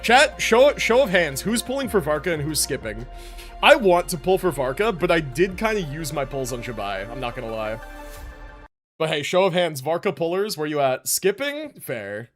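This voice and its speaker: A man's voice: fairly high pitch, sing-song, normal speed, fairly bright tone, soft background music. A man hosting a livestream, chatting casually with viewers in an informal way and often repeating phrases for emphasis. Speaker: live streamer host.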